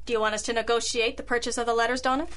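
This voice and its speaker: A woman's voice: on the high side, flat delivery, rapid-fire, fairly bright tone, clear, resonant tone, close to an everyday voice. A woman playing a wry, tough girl detective in an old-time noir radio drama, sardonic and knowing. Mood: businesslike.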